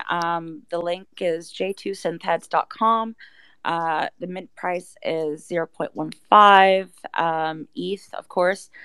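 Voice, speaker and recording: Low-pitched creaky woman, casual promo talk. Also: no room sound. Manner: conversational, peppered with "you know" and "like". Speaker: project team member and guest speaker. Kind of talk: casual promotional talk in a live audio chat.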